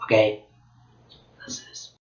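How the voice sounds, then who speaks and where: A man from Venezuela, hoarse from losing his voice, reading a story chapter aloud in a casual, halting way with frequent slips. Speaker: channel host reading a story. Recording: echoey room, dull lo-fi sound.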